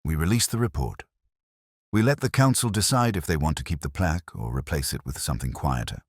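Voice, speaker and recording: A man voicing a patient, dryly witty police inspector in an audio mystery, understated and measured. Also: a bass voice, expressive, breathy edge, dry recording, clean studio sound, heavily acted. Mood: dry, pragmatic.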